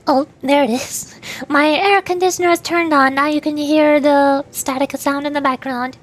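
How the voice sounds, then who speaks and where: A woman's voice: sits high, steady tone, normal speed. A woman livestreamer chatting casually over a game in a rambling, playful way. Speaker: livestreamer, VTuber. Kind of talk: casual chat while gaming, answering live chat.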